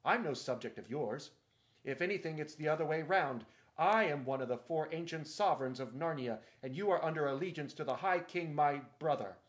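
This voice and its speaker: A man's voice: medium pitch, animated, moderate pace, darkish tone, natural voice. A man doing a commanding boy king. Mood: indignant.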